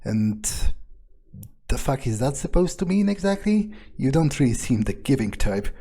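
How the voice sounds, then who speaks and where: A man acts out a scornful male vampire in a game roleplay, thinking aloud in a dark, mellow voice with a husky edge. He is sardonic and profane, muttering and halting as he goes. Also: mid-range voice, sing-song, heavily acted. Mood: sceptical, curt. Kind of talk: improvised in-character game roleplay.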